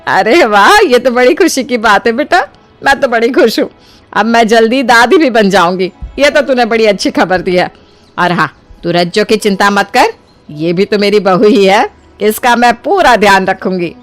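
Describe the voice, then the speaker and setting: Kindly older mother-in-law acted by a woman, very animated intonation, coaxing, affectionate, family cartoon, music behind. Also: a little high, normal speed, lightly acted. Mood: doting.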